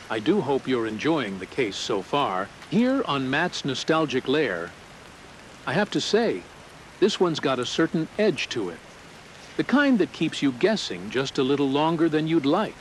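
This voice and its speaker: A man's voice: mid-range voice, low-quality recording. Late night host guy, confiding, mid voice w/ lots of lilt, faint rain hiss behind. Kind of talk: intimate late-night scripted hosting.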